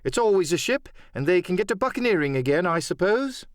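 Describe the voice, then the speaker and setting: A man voicing a brisk, kindly and wry eighteenth-century English ship's doctor in an audiobook, with an educated, emphatic way of lecturing. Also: high-pitched, sing-song, no echo, clean studio sound, pitched above the performer's own voice. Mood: confident, wry. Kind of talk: audiobook character acting.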